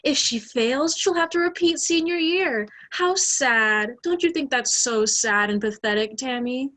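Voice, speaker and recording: A young woman in an amateur stage play, acting a bossy high school bully with exaggerated mock sympathy. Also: animated, a little slow, a bit bright. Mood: gloating. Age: young adult. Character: snide, bossy high school mean girl.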